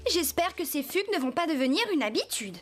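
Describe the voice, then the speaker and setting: An affectionate young cartoon rider girl with an extremely high voice. She speaks with gushing emotion over loud music. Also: expressive, a little fast. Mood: relieved, happy. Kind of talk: French cartoon dub.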